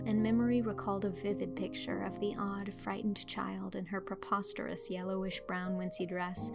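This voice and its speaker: A woman's voice: medium pitch, vocal fry. A woman reading scripted storybook narration as the narrator of an audiobook. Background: music.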